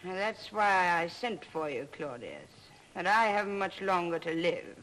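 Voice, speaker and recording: An actress playing an imperious, dying old Roman matriarch in a haughty, commanding manner, in 1930s film style. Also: female voice, fairly low pitch, lively intonation, unhurried, fairly bright tone, poor audio. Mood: grave, imperious. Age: older. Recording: vintage 1930s film soundtrack.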